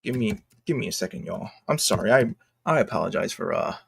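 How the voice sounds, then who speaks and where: An American man giving casual, unscripted online commentary in a halting, repetitive, slangy way. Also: lowish voice, animated, gravelly, husky. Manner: halting and repetitive, slangy, addressing viewers as y'all. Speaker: online video commentator and host. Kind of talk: casual unscripted commentary, reading posts aloud.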